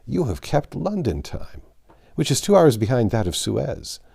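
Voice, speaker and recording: This is a shrewd storybook detective, voiced by a man, suspicious beneath a polite surface. He prods in a measured, inquisitive way, with pitch that rises and falls freely. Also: very animated, vocal fry, dark tone, breathy edge, full character voice. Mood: helpful, patient. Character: shrewd, suspicious male detective, probing slyly.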